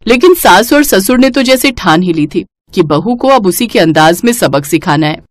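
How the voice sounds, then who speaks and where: A woman's voice: mid-range voice, lively intonation, moderate pace, no echo. A woman narrating a scripted family story as a storyteller.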